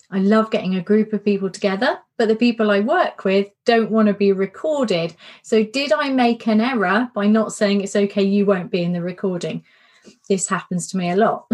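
A middle-aged woman talks through a workshop in a relaxed, candid way, often repeating words for emphasis. Her voice sounds a little worn, as if she's starting to lose it. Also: medium pitch. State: slightly losing her voice. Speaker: coach and podcast host. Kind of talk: casual spoken workshop talk.

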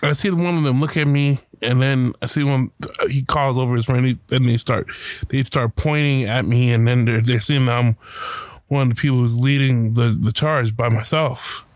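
A man telling a personal story about a protest in a casual, halting way, with many fillers and restarts. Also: medium pitch, fairly even intonation, dry recording. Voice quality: loud voice.

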